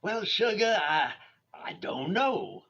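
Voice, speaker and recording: A man's voice: sits high, very animated, slow, sharp tone, husky, heavily acted. An actor playing a brash, flirtatious, conceited male bandleader with a Southern accent in a radio comedy. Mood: flirtatious swagger. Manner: jive-talking, cocky. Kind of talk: 1940s radio comedy acting. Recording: dull online radio-play recording.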